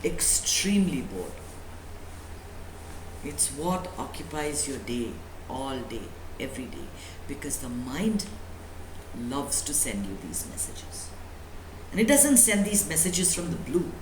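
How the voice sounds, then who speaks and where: A woman's voice: a bass voice, big pitch swings, takes it slowly, long pauses. A woman speaking as a spiritual teacher, giving an emphatic motivational talk full of rhetorical questions and repetition. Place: indoor room at a live talk. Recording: echoey room with faint background noise.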